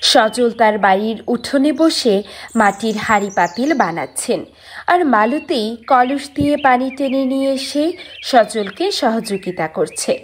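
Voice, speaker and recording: A woman's voice: a little high, expressive, a little slow, slight background noise. A woman narrating a scripted fairy tale for a cartoon. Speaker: story narrator.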